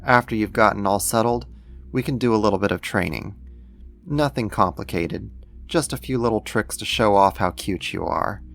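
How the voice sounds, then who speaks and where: A man playing a doting alien creator who soothes a human pet, affectionate and gently possessive, in an ASMR roleplay. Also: fairly low pitch, normal speed, vocal fry, soft background music. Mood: proud, reassuring.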